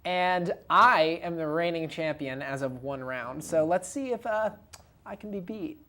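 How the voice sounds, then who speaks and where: A man's voice: fairly high pitch, measured pace, bright, forceful tone. A young man in casual review chat as a show co-host, joking and self-deprecating. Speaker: film review show co-host and quiz contestant.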